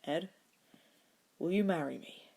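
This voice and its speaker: A woman's voice: lowish voice, unhurried, gravelly, a little mellow, slightly rough, full character voice. A woman voicing a wry, composed, tender man in an acted fan fiction reading. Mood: earnest, nervous.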